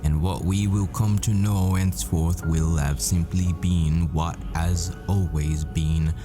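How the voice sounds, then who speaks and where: A man playing a world-weary, foul-mouthed assassin boyfriend in a dramatic audio roleplay, brooding and deliberate in his storytelling. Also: deep voice, fairly even intonation, over music. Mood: grave, solemn.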